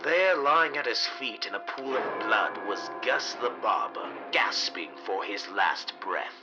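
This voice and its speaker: A man narrating a 1930s radio mystery as scripted storytelling, dramatic and measured. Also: on the low side, lively intonation, highs cut off, music in the background.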